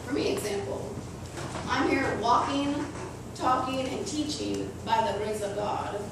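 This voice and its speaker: A woman with a raspy voice giving an earnest personal talk to schoolchildren about making wise choices. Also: high voice, very animated. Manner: earnest, slow and deliberate with children. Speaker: guest speaker to schoolchildren. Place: school hall. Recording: echoey room, distant mic, noisy.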